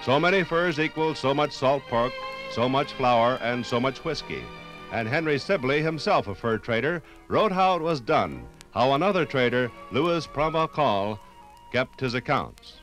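A man's voice: medium pitch, lively intonation, moderate pace, fairly bright tone, soft background music, poor audio. A man with an American accent reading scripted narration for a 1950s radio documentary.

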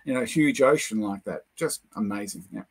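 An older man with a New Zealand accent, a guest lecturer telling anecdotes over a video call, with many pauses and fillers. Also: on the low side, slightly rough. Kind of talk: casual chat, storytelling.

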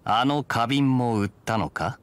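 A man voicing a suave, sly young officer in an anime game, needling and smooth-talking. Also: medium pitch, moderate pace, slight background noise, lightly acted. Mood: teasing. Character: suave, teasing young cavalry officer, sly tavern drinker. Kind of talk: Japanese anime game voice acting.

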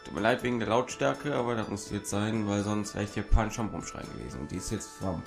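Low, creaky young-sounding German gamer guy. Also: quick pace. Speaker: let's player. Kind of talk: casual game commentary. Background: video game music and sounds.

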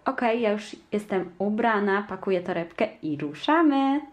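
A woman's voice: mid-range voice, animated, moderate pace. A woman in her twenties from Poland, chatting casually to camera as she gets ready at home. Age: 20s. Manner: chatty, talks to her small child in a sing-song voice. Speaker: vlogger. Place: bedroom at home. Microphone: handheld camera mic. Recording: noisy camera-mic recording.

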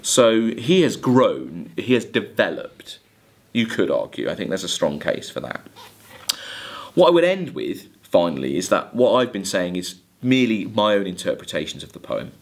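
A man giving a literature lecture, emphatic, halting and deliberate as he corrects himself. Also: low-pitched, big pitch swings, gravelly. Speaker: college English literature lecturer. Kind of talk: lecture with quoted lines of verse. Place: indoors, recorded video lecture at a desk.

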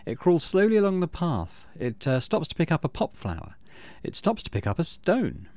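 A man hosting a gaming channel, low-key, casually commenting on play and reading story text aloud. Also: wildly swooping pitch, normal speed, darkish tone, no room sound.

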